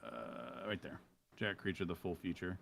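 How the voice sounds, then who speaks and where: A man's voice: low voice, expressive, normal speed, creaky, a bit bright, slight background noise. A man speaking as a streamer and host in casual, informal chat, often breaking off his sentences.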